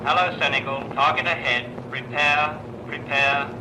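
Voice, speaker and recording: A male military pilot issues short, clipped radio orders. His voice is very sharp and forceful, with a rough, raspy edge. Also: a little high, steady tone, unhurried, poor audio. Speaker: military pilot leading an attack.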